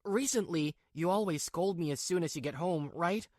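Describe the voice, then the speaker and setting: A woman's voice: lowish voice, moderate pace, mellow, dry recording, studio quality, natural voice. A woman voicing a weak-willed, two-faced young husband in a manga drama, with a pleading, hesitant delivery. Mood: apologetic.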